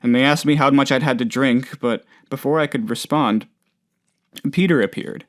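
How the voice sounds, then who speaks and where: A man's voice: mid-range voice, normal speed, close to an everyday voice. Male actor as bumbling video game factory boss, nervous, rambling, earnest, hesitant, downtrodden. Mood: rueful, confiding. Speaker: factory manager character. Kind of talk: video game character voice lines.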